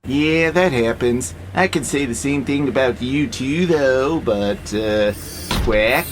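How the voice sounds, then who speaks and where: An actor playing an obedient, literal-minded freight robot in an audio drama, with a pedantic, matter-of-fact manner. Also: male voice, medium pitch, slow pace, natural voice. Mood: hesitant. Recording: clear steady background noise, vehicle-like hum.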